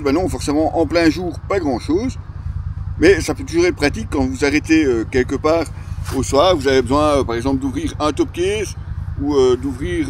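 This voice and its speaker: Middle-aged male gear reviewer, Belgian French accent, mid pitch, casual and explanatory, recorded outside over audible vehicle noise. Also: a little mellow. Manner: hesitant, explanatory, conversational. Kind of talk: casual unscripted product review to camera. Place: outdoors, stopped during a motorcycle ride. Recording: clear outdoor ambience and traffic noise.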